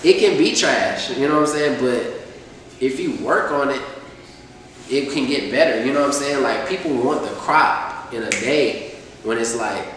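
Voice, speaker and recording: Young dude rapping about life, chill and rambly, roomy echo, mic kinda far. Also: male voice, medium pitch, moderate pace, a little hiss or hum. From Atlanta, USA. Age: 20s. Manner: relaxed, rambling, lots of fillers. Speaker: rapper, guest. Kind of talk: casual sit-down interview. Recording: echoey room, distant mic.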